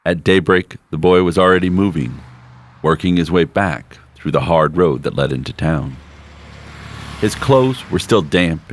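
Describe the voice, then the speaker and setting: A man reading a literary short story aloud in measured, unhurried scripted narration, with slowed phrases. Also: extremely low pitch. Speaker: story reader. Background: background score music.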